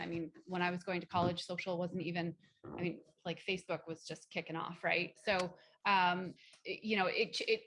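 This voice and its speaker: Woman panelist, relaxed and talkative, video call audio. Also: on the low side, slight background noise. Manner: chatty, loose and conversational, many fillers. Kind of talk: casual panel discussion.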